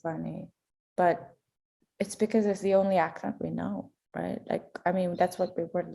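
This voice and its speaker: A young woman from Macau co-hosting a podcast, chatty and animated, full of fillers like "like" and "you know". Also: on the low side, moderate pace, vocal fry. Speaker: podcast co-host. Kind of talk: casual chat.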